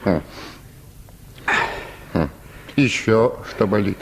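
An actor playing a brusque, self-important provincial medical orderly in a radio play, giving curt orders with thoughtful hemming pauses. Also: male voice, on the low side, animated, husky, exaggerated voice. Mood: probing. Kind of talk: Russian literary radio play acting.

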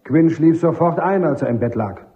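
A man's voice: on the high side, animated, moderate pace, narrow, tinny audio. A man playing a dutiful army sergeant on the witness stand in a German radio play, reporting in a clipped, deferential, factual way.

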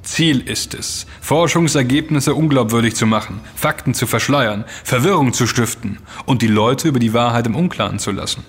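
An actor playing a self-assured, intense investigator in a German audio drama, speaking emphatically and accusingly, with dramatic delivery. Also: male voice, medium pitch, moderate pace, hardly any pauses, breathy edge, natural voice. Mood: grave. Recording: long reverb with music underneath.

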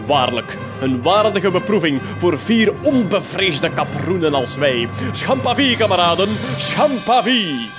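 Over music comes a pompous Flemish outlaw chief for a radio comedy. His high voice is theatrical and boastful, declaiming in mock-archaic phrases with big swoops. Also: sing-song, unhurried. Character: pompous, boastful would-be folk-hero outlaw leader, henpecked husband. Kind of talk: comedic radio play acting.

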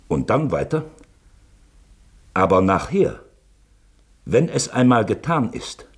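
A shrewd, insinuating questioner in a radio drama. His husky low voice swings widely in pitch as he presses his point deliberately. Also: sing-song, heavily acted. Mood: intense, insistent. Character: shrewd, probing man quoting a suspect manuscript, insinuating. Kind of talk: German radio play acting.

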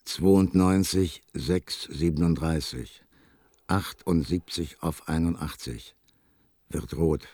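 Very deep, husky control operator. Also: male voice, no room sound, full character voice. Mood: impassive. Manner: slow, clipped, monotone number reading. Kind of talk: radio play acting.

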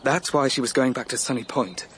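A man's voice: medium pitch, fairly quick, fairly bright tone, slight background noise, close to an everyday voice. An actor playing a brooding, sardonic young man in a radio drama. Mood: earnest, confiding. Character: brooding, sardonic adopted son of a murdered mother.

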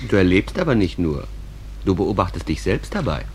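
Deep, grave, all-knowing stage manager. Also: male voice, big pitch swings, normal speed, a little hiss or hum. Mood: grave, warning. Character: grave, all-knowing narrator figure among the dead. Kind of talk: theatrical radio drama acting.

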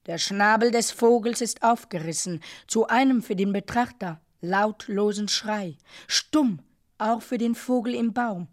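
A woman narrates a literary radio drama at a very unhurried pace. Her middle-pitched voice delivers each phrase deliberately and sets it apart with pauses.

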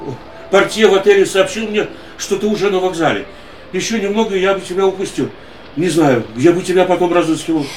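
An actor playing a gentle, devoted man in a radio drama, speaking in a soothing, tender way. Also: high-pitched, fairly quick, reverberant, soft background music, natural voice. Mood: eager, relieved.

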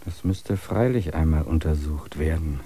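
Low, husky reflective male narrator. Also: warm tone. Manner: measured, contemplative. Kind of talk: reflective radio play narration.

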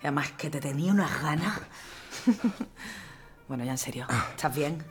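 A woman's voice: deep voice, moderate pace, lightly acted. An actress playing a stubborn, teasing young militia woman. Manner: determined, teasing.

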